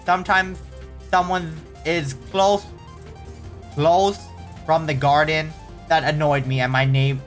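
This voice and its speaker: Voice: medium pitch, a bit bright. A casual host reading online horror stories aloud, often stumbling and correcting the wording, with startled, exclaiming reactions in between.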